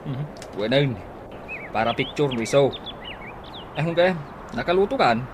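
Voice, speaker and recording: Teasing, thrifty Ilocano dad played by a man, lively swings in pitch, radio comedy, noisy track. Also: normal speed, lightly acted. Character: easygoing, teasing, thrifty husband and father. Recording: noisy radio drama recording, ambient sounds.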